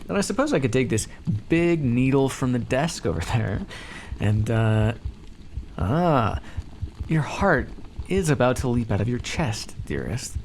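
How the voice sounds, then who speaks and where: A man playing a calm, condescending vampire captor in an ASMR roleplay, teasing and coaxing as he talks. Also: medium pitch, unhurried, a little hiss or hum. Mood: taunting. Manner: teasing, patronizing, coaxing, hesitant stammers. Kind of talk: ASMR roleplay, scripted monologue.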